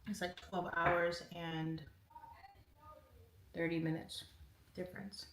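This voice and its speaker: A woman's voice: on the low side, little pitch movement, takes it slowly. A woman hosting a casual livestream, reading out viewer comments and answering each one. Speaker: livestream host. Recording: echoey room, faint noise.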